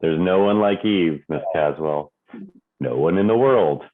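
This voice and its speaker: An actor playing a suave, cynical theater critic in a radio play, with a dry, deliberate, knowing delivery. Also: male voice, low-pitched. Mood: smooth, sardonic. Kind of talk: theatrical radio drama acting. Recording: thin phone-like sound, music behind.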